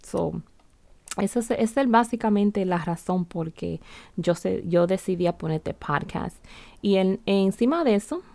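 A woman's voice: mid-range voice, expressive, a little slow, vocal fry, dry recording. A middle-aged woman from the Bronx who speaks Spanish with a blended Dominican and Latin American accent, hosting a casual solo podcast and talking in a rambling way with many restarts. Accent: Dominican Spanish mixed with other Latin American accents. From United States (the Bronx). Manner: rambling, frequent restarts, softly spoken. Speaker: podcast host.

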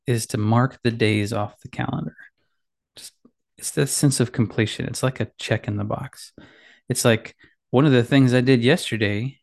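Dad podcaster, gravelly mid-pitched voice with a nasal edge, casual and self-deprecating, solo from his home office. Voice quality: slightly nasal. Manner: rambling, self-deprecating, many fillers and restarts. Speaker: podcast host. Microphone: boom mic with headphones.

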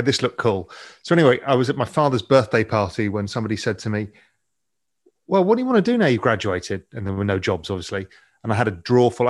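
Relaxed English man telling his story. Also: medium pitch. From England. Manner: relaxed, anecdotal, many ums. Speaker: guest. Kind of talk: interview storytelling.

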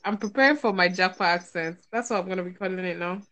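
A woman's voice: lowish voice, quick pace, fairly bright tone. Chatty woman hosting a podcast. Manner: chatty, playful, laughs often. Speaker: podcast host. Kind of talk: casual chat.

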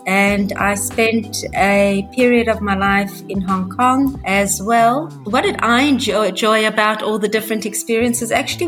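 A woman from Africa, a guest coach talking earnestly and reflectively in an interview, repeating phrases as she thinks. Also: mid-range voice. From South Africa. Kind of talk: conversational interview.

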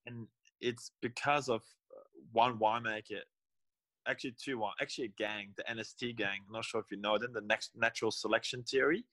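A man's voice: medium pitch, fairly even intonation, brisk, pushed and bright, no room sound, low-quality recording. A young man, a winemaker talking in a casual interview, rambling and often correcting himself mid-sentence. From Belgium. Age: young adult. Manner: rambling, restarts and self-corrections. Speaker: guest winemaker.

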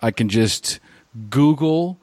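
A man's voice: mid-range voice, animated, slow pace, a little mellow, dry recording, studio quality. Affirming male interview host. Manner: affirming, often says "absolutely". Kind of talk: recovery podcast interview.

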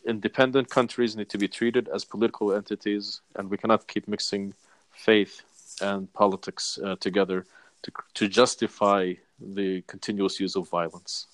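A Palestinian man speaking as an interview guest, measured and deliberate, laying out his points one by one. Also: lowish voice, level tone, dry recording. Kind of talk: earnest advocacy interview.

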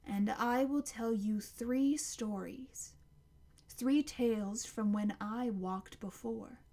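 A woman's voice: fairly high pitch, mellow. A woman voicing an ancient, towering tree monster in a storybook, proud and menacing, speaking in a grand, declamatory way. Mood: grave, portentous. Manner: grand, slow, declamatory, building to a roar.

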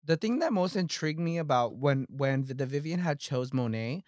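A man's voice: fairly high pitch, animated, normal speed, a little mellow, no echo, studio quality. Sassy man hosting a casual recap podcast. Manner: sassy, profane, emphatic slang. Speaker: podcast host. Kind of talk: casual pop-culture recap chat.

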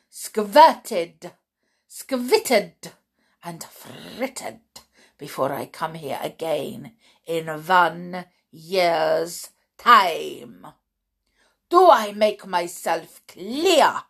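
A woman's voice: fairly low pitch, lively intonation, gravelly, a bit bright, full character voice. A woman voicing a tyrannical, cruel grand witch leader in an audiobook, menacing and imperious, drawing out her words. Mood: commanding, menacing. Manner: imperious, drawn-out, menacing delivery.

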